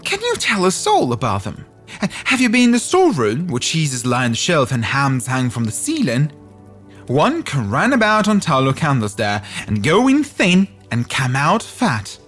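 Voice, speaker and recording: A man's voice: fairly high pitch, normal speed, soft background music, exaggerated voice. A man voicing small, eager, inquisitive mice in a fairytale reading. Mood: eager, curious. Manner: inquisitive, excitable questioning. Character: small curious chattering mice, squeaky and eager.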